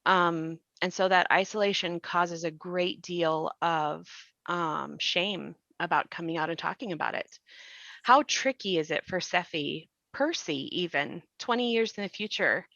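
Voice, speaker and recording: A woman's voice: fairly low pitch, measured pace, vocal fry, sharp tone, no echo, lo-fi sound. A woman in an earnest explanatory discussion, emphatic and given to rhetorical questions. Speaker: podcast creator and actor discussing the show.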